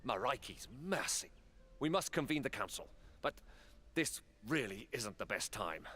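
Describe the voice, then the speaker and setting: A man playing a worried lord of a fantasy council in a video game, measured, formal and guarded. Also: medium pitch, big pitch swings, sharp tone, exaggerated voice. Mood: alarmed.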